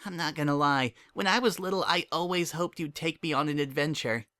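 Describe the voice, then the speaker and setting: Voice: medium pitch, fairly quick, sharp tone, voice pushed up, natural voice. A narrator voicing a young pony mare, a hurt but hopeful daughter, emotional and pleading, in a dramatic story reading. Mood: gentle, hurt.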